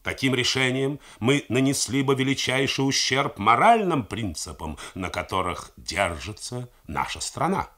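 A man's voice: mid-range voice, sing-song, measured pace, hardly any pauses, bright, forceful tone, voice pushed up, full character voice. A man reading the part of a stately older head of state, speaking in a grave, persuasive manner. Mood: solemn. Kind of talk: radio drama reading.

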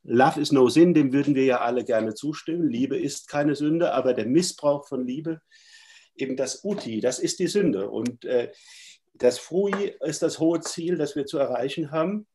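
A man's voice: mid-range voice, moderate pace, mellow. Man with a cold, lecturing on a video call. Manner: thoughtful, many hesitations. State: stuffy with a cold. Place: home room, indoors. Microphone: webcam on a video call.

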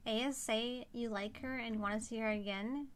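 A woman's voice: fairly high pitch, fairly even intonation, normal speed. A young woman commenting casually on a live game stream and reading choices aloud. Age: young adult. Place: indoors, seated at a streaming setup.

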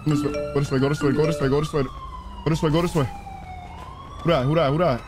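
A man streaming a role-play game, chattering in character in excitable slang and repeating his phrases. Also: on the high side, quick pace, music in the background. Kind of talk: in-character live gameplay chatter. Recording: sirens and vehicle noise behind voice. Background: game sirens and car sounds.

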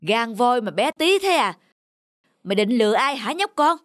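Menacing, suspicious cartoon tiger. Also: male voice, very high-pitched, sing-song, normal speed, fairly bright tone, full character voice. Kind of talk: Vietnamese animal fable cartoon.

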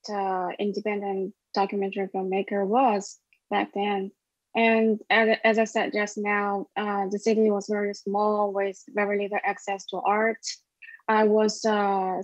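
A woman in her thirties from China, a documentary filmmaker answering interview questions in a halting way with many fillers and restarts, recorded over a webcam with earbuds in a small room. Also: mid-range voice, no echo. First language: Chinese. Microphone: wireless earbuds at a webcam. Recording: dull, dry webcam audio.

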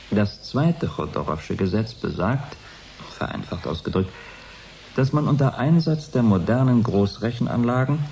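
A mellow, lively-pitched scientist. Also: male voice, a little hiss or hum. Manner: measured, academic, explanatory. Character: precise, didactic male scientist explaining research. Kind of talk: 1980s German radio drama acting as interview.

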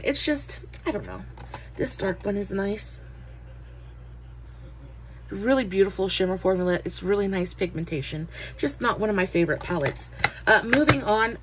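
Woman, young adult, casual beauty vlogger; stuffy nasal voice, chatty with fillers, faint background noise. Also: mid-range voice. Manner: chatty, many "uh" fillers, friendly greetings. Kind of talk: casual makeup review.

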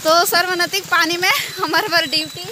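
A young woman with a Chhattisgarhi accent speaks in a high voice, chatting casually to viewers as a travel vlogger. She is recorded on a phone outdoors, with clear noise of rain and motorbikes around her. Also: fairly even intonation, normal speed. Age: young adult. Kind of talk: casual vlog chat to camera, mixing in local dialect. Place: outdoors on rainy rural road. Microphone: phone at arm's length. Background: rain, motorcycle engine, vehicles.

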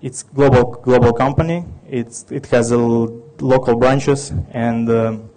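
A man's voice: medium pitch, fairly even intonation, a little slow, dark tone, low-quality recording. A man in his thirties from Ukraine with a Russian accent, giving a technical conference talk with many restarts and fillers. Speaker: conference speaker.

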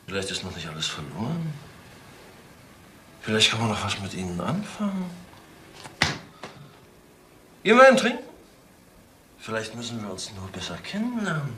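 A man's voice: on the low side, big pitch swings, brisk, pauses often, sharp tone. An actor playing a brutal, domineering middle-aged ex-convict father in a crime radio play, taunting and coarse. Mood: mocking. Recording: roomy radio play with faint noise.

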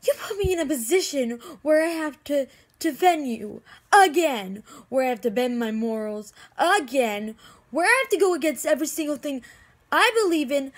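A woman acting an anguished, lovestruck young heroine in amateur supernatural-drama monologues, with emotional, halting delivery. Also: fairly high pitch, husky. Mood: angry, pleading.

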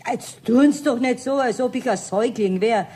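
A woman's voice: on the high side, moderate pace, warm tone, husky. An actress playing a feisty, sceptical, outspoken cleaning lady with a Bavarian accent in a radio play. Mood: indignant. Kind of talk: 1970s German radio play.

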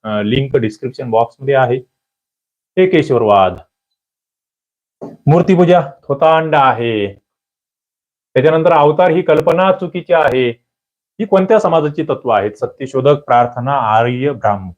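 A man teaching an exam prep lecture, quizzing listeners with lively rhetorical questions. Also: medium pitch, normal speed, long pauses. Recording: dull lo-fi audio.

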